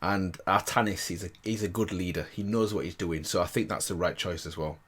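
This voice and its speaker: A man's voice: low voice, fairly even intonation, brisk, fairly bright tone, husky. A man hosting a reaction video, commenting casually. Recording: faint background noise.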